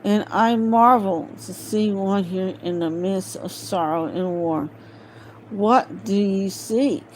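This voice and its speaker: A woman's voice: mid-range voice, darkish tone, poor audio. A woman reading a fantasy novel aloud as an amateur, hesitant and often stumbling over words. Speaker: amateur audiobook reader. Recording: home recording with faint background noise.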